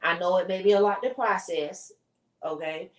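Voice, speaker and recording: A woman with a loud, strong voice, chatting bluntly and emphatically as a podcast co-host. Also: fairly low pitch, moderate pace. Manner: blunt, emphatic, repeats herself. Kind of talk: casual chat. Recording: echoey room, far from mic.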